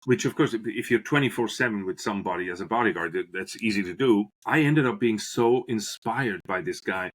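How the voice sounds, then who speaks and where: Middle-aged Swiss-born man, low-pitched, earnest podcast guest recounting his life story. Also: fairly quick, hardly any pauses, fairly bright tone. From Switzerland. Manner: earnest, restarts phrases while searching for words. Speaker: guest, business professor. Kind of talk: podcast interview, reflective storytelling.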